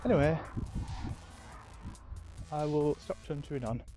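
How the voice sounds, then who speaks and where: A man's voice: on the high side, unhurried, dark tone, soft background music. A man with a Yorkshire accent rambling casually through his weekend in a diary-style chat, with lots of ums and a self-deprecating manner. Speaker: diary podcast host. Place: home.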